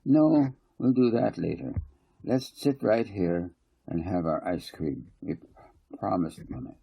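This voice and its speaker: A man's voice: sits low, expressive, slow pace, gravelly, dark tone, slightly rough, exaggerated voice. A man voicing a bossy, matter-of-fact little girl, an older sister with a prim, assertive manner in a storybook.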